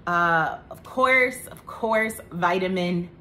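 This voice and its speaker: A woman in her thirties talks to camera about health in a chatty, joking way. Her voice sits a little low. A faint room hum lies under the recording. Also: slow pace, pushed and bright. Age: 30s. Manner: chatty, joking asides, faith talk. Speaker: vlogger. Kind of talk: casual health talk to camera.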